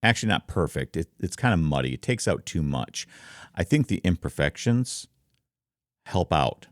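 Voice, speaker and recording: A man with a very deep, rumbling voice, explaining things casually and conversationally to viewers, close on a studio microphone. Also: sing-song, normal speed, no echo. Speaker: content creator, streamer. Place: home office.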